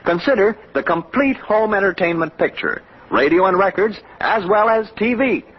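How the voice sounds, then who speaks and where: Male American announcer for period radio ad; high, husky, persuasive, old-broadcast sound. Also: expressive, normal speed. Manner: polished, persuasive, addressing listeners directly. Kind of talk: mid-century American radio advertising read. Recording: muffled old radio recording.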